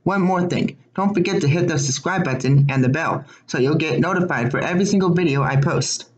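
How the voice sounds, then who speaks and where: A man speaking as a video creator, reading a quick scripted outro to viewers. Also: fairly high pitch, dull audio.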